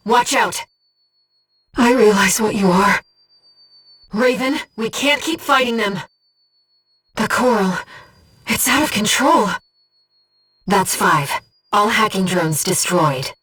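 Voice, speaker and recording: A woman voicing a gentle, earnest disembodied female companion in a video game, speaking formally and with measured composure as mission support. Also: medium pitch, breathy edge, recorded from a distance, exaggerated voice. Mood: urgent, alert, then earnest, tender. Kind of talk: scripted video game voice acting, mission briefing.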